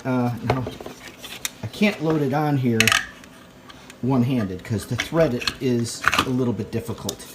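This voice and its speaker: A man giving a casual show-and-tell in a halting, rambling, enthusiastic way, recorded indoors at home on a handheld phone. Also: mid-range voice, very noisy. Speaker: vlogger, tape collector. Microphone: handheld phone near the speaker.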